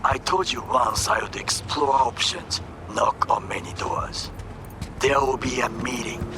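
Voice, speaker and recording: An actor playing a grave, honourable corporate warrior in a video game, with a Japanese accent, a breathy hush and formal, deliberate phrasing. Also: male voice, mid-range voice, background noise, natural voice. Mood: grave, earnest. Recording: music behind the dialogue.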